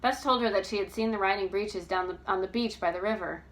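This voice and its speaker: A woman reading a storybook aloud as narrator, with expressive, careful, dramatic delivery. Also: mid-range voice, fast talker, poor audio.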